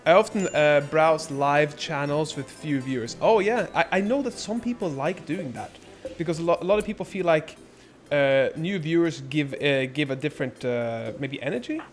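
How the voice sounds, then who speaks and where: A man's voice: fairly high pitch, expressive, moderate pace, faint music behind. A young man born in Norway, chatting casually and rambling on his live stream, swearing freely. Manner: rambling, laid-back, lots of filler and swearing.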